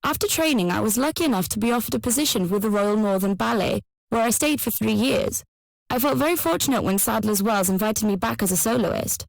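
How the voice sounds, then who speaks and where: A composed former ballerina from London explains her career gratefully. Her voice is on the high side with marked creak, in a dry recording made for a language test. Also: no echo, rough recording. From London, England. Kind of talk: acted monologue for an English listening exam.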